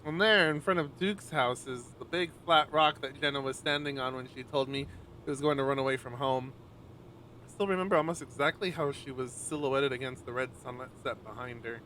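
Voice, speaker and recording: Expressive bright-voiced man streaming. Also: mid-range voice, brisk, a little hiss or hum. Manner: expressive, frequent swearing. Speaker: streamer, narrator reading a visual novel. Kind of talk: casual livestream chat and read-aloud.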